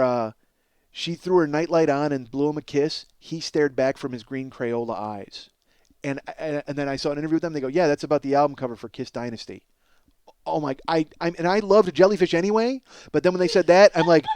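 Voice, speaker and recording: Male comedy podcaster, middle-aged. Higher-than-average pitch, dry close sound. Excitable, self-mocking, profane, trips over repeats while spinning long stories. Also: clean tone, no echo. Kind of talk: rambling comedic monologue storytelling.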